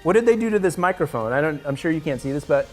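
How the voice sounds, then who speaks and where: A man in his thirties interviewing a guest, talking in a halting, stammering way. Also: a little high, animated, faint music behind. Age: 30s. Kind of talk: casual interview.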